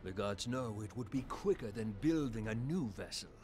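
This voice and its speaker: An actor playing a shrewd, deferential military marshal in a fantasy game, speaking formally, deliberately and courteously. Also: male voice, fairly low pitch, expressive, darkish tone, soft background music, close to an everyday voice. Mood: courteous, assured. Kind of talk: fantasy video game cutscene acting.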